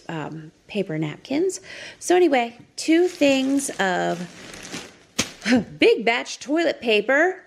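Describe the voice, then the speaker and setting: A woman's voice: medium pitch, vocal fry. A woman talking casually and chattily as in a shopping haul vlog, listing items aloud. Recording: faint background noise.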